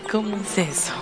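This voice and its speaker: A woman playing a naive, lovestruck young actress in a radio drama, tender, girlish and theatrical. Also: mid-range voice. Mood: playful, affectionate. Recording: music behind the voice.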